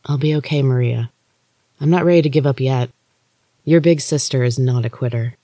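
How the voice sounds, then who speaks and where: Narrator doing a stubborn young magic heroine, mellow voice, dry wry comebacks. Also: medium pitch, moderate pace, no echo, lightly acted. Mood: determined.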